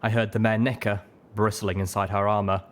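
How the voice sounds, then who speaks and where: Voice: low-pitched, level tone, brisk. A narrator reading scripted first-person fiction as an audiobook.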